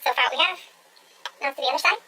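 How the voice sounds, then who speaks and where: A woman's voice: sits high, fast talker, sharp tone, slight background noise, rough recording. A woman talking through a makeup tutorial at home, chatty and gushing as she gives step-by-step instructions. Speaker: beauty vlogger.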